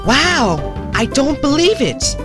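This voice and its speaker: A woman's voice: high-pitched, sing-song, slow, over music, full character voice. A woman voicing an earnest, scolding young adventurer in an amateur comedy dub of a video game.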